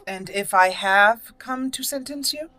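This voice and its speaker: Woman playing a bright-voiced formal elf mage. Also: mid-range voice, dry recording. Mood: assertive. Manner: formal, deliberate. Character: proud young female elf mage noble, blunt, formal. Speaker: host of a gaming channel. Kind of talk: improvised in-character game roleplay narration.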